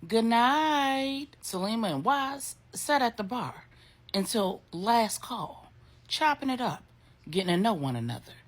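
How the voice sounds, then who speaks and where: A woman's voice: mid-range voice, very animated, measured pace. A woman storyteller narrating a drama in a casual, colloquial style.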